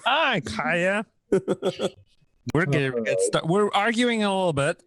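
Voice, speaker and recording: A man's voice: high voice, big pitch swings, slow pace, a bit bright. A man chatting casually as host and performer at a radio play show. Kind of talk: casual chat.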